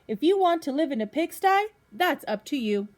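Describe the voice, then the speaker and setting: A woman's voice: high-pitched, big pitch swings, moderate pace, background noise. A woman voicing a storybook mother who scolds her messy son in a firm, emphatic way. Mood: fed up.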